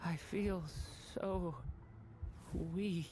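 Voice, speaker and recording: A woman voicing a lost, confused newborn dragon who talks haltingly. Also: on the low side, steady tone, over music. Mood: weak, lost. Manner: halting, fragile and pleading. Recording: game soundtrack behind.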